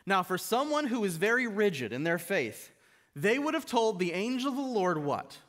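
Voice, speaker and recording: Guest preacher, man in his thirties, high and lively delivery at a pulpit mic. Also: normal speed. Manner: animated, rising to emphatic high peaks. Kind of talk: sermon with scripture reading. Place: church stage, mid-size indoor hall. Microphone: stand mic at a pulpit.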